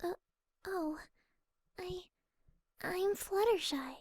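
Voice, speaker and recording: A woman voicing a shy, gentle young female pegasus pony in a fan audio drama, timid, hesitant and soft-spoken. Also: very high-pitched, very slow, no room sound, exaggerated voice. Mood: timid, apologetic.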